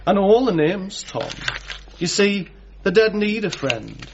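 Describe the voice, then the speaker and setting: Morbid, pedantic mortuary oddball for radio comedy: high, swooping delivery, creepy formality. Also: male voice, very animated, measured pace, creaky, lo-fi sound, slight background noise, exaggerated voice. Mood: earnest, eerie. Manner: pedantic, earnest, oddly formal way of talking. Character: creepy, morbid male pathologist who befriends corpses. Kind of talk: radio comedy drama acting.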